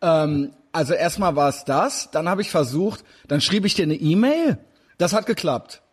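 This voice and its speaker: A man's voice: on the high side, expressive, a little slow, slightly rough. A man in his forties interviewing a guest in a casual chat, rambling with many fillers and restarts. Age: 40s. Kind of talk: casual interview over video call.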